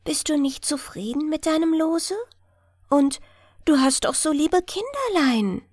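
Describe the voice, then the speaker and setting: A strange water nixie from a fairy tale, as a woman reader puts her on. The voice is high, light and airy. She speaks softly and coaxingly, with a mysterious lilt in a dry, close recording. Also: lively intonation, unhurried, no room sound. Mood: curious, probing.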